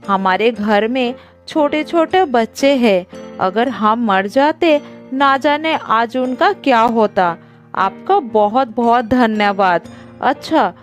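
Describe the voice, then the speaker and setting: A woman voicing a grateful, gentle deer parent in a cartoon moral story, earnest and thankful. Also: a little high. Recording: faint music under the voice.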